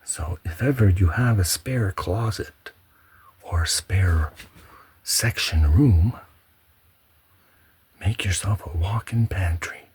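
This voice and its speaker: A man giving a soft-spoken ASMR home tour in a hushed, halting way, often slipping into a whisper. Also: low-pitched, slightly rough. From Canada. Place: indoors at home, in a pantry.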